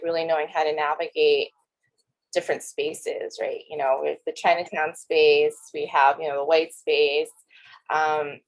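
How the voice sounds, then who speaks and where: Young woman w/ a low voice on a panel, laid back, lots of um and you know. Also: rough recording. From United States. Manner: casual, frequent fillers like you know. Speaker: panelist, community worker. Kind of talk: panel discussion. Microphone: video call.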